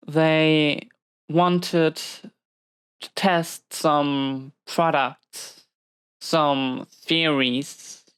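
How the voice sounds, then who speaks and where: A low, smooth-voiced female villain behind bars, acted by a woman, speaking slowly in an intimate audio roleplay. She is wounded and weary, confiding in halting, reflective phrases. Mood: somber, resigned. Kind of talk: slow intimate audio roleplay monologue to a listener.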